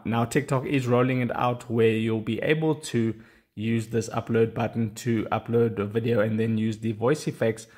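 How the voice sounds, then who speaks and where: A man's voice: mid-range voice, darkish tone. A man narrating an instructional how-to tutorial, explaining plainly step by step. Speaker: tutorial host.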